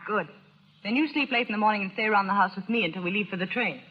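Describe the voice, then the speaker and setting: A woman's voice: medium pitch, racing, close to an everyday voice. An actress playing a devoted, nervous society wife in 1930s radio drama style, eager and faltering as she pleads. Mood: nervous, apologetic. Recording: dull, muffled old radio broadcast.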